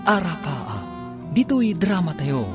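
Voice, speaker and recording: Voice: mid-range voice, big pitch swings, slow. An announcer with a Filipino accent narrating a scripted radio drama serial. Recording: music playing behind the voice. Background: loud music bed.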